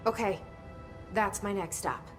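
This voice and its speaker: A woman's voice: medium pitch, unhurried, soft background music, lightly acted. A woman playing a guarded, determined young heroine in video game dialogue, speaking tersely and directly. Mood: questioning, determined.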